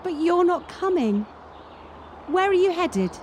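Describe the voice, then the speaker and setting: A woman's voice: very high voice, sing-song, a little slow, a little hiss or hum, exaggerated voice. A young woman playing a wary, wounded gymnast in a radio play, with a wry, self-mocking and wistful manner. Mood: wistful, pained.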